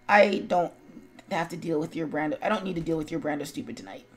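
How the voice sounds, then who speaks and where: A woman's voice: low voice, expressive, quick pace. An American woman streaming casual, opinionated chat in a sarcastic, blunt manner. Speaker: streamer, show host.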